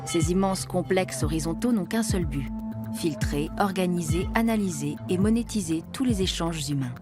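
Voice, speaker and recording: A woman reading a scripted documentary narration in a measured, even way. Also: lowish voice. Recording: music bed under voice.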